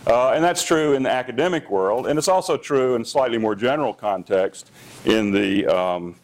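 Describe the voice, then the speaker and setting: A middle-aged man with a loud voice giving a public lecture as an engineering dean, pausing often with fillers and restarts. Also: mid-range voice, expressive. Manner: hesitant, many fillers and restarts. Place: lecture hall.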